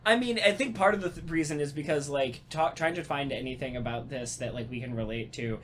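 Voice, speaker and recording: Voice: mid-range voice, fairly quick, fairly bright tone. A host chatting casually and jokingly with friends, swearing freely. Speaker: host of a podcast talkback. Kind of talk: casual group chat. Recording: some background noise.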